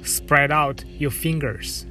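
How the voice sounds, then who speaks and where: A man from China, a dance artist, speaking gently in a measured guided narration over soft music. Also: fairly high pitch, expressive.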